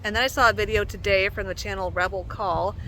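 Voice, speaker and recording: A woman's voice: medium pitch, steady tone, quick pace, sharp tone, a little hiss or hum, low-quality recording. A woman hosting an online show, giving casual news commentary to her viewers.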